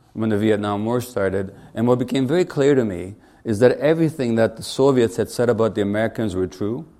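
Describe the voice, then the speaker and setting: Wry older man lecturing, mellow low voice. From Hungary. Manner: conversational, wry, asks the audience questions. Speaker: physician giving a public lecture. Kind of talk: public speech to an audience.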